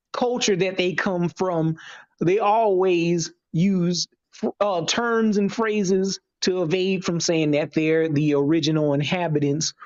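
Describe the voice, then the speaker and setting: A man in his late twenties explaining his views in a halting, deliberate way as a guest in an online discussion. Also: sits high, muffled recording. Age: 20s.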